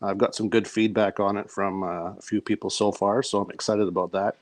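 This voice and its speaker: Male writer as podcast guest, slightly deep voice, relaxed conversational delivery, dry close-up recording. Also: brisk, dry recording. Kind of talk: casual chat about own work.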